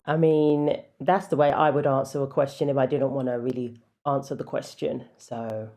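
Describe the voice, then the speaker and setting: A woman with a deep, low-pitched voice talks through her opinions in a casual commentary vlog. Her tone is mellow and dark, and she drops wry asides between points. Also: fairly even intonation, moderate pace, faint music behind.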